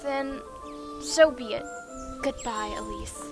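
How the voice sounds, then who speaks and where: A woman's voice: fairly high pitch, slow pace. A woman voicing a young, earnest, commanding magical girl heroine in a comic fan dub. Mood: gentle, solemn. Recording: loud music behind the voice.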